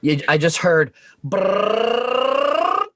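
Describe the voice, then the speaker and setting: A man's voice: high-pitched, sing-song, drawn-out words, background noise. An American man telling anecdotes casually as a podcast guest, swearing and joking along the way. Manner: profane, joking. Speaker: podcast guest, Coast Guard veteran.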